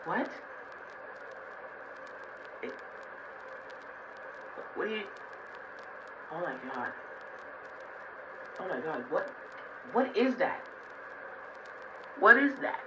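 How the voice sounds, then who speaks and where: A man's voice: high-pitched, big pitch swings, drawn-out words, pauses often, full character voice. A man playing a bewildered, rambling space cadet phoning into a mock newscast. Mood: panicked confusion. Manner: rambling, flustered. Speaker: interviewed guest. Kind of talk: improvised mock news interview. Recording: muffled lo-fi line, faint noise.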